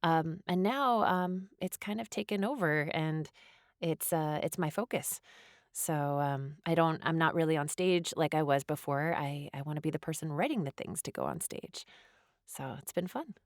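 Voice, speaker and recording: Low-voiced woman, thoughtful. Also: moderate pace, dry recording, studio quality. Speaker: playwright, interview guest. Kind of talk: reflective interview conversation.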